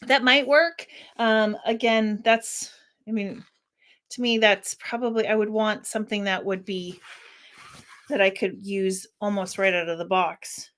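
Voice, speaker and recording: A woman of medium pitch talking her way through a casual product review on her channel. She rambles and restarts as she thinks aloud. Her voice has a slight squeak to it today. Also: steady tone, a little slow, a bit bright. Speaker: worship flag maker and channel host.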